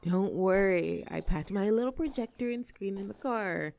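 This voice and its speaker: A woman's voice: medium pitch, animated, normal speed, creaky, a little mellow. A woman playing a young, outdoorsy tomboy friend in an audio roleplay, chatting casually in an upbeat, caring and reassuring way. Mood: reflective, reassuring. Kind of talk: roleplay audio acting, casual intimate chat.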